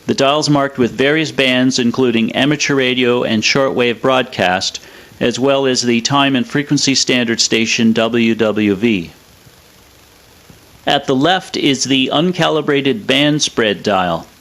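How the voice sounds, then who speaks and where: A man's voice: medium pitch, unhurried, a bit bright. Matter-of-fact man narrating a technical explainer. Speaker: presenter of an electronics review.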